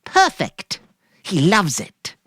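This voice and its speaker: A narrator voicing a housewife who speaks in a bright, sing-song way with put-on cheer. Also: medium pitch, huge pitch swings, slow pace, slightly rough, no echo, studio quality, pitched above the performer's own voice, exaggerated voice. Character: Mary Maloney, bright falsely cheerful housewife.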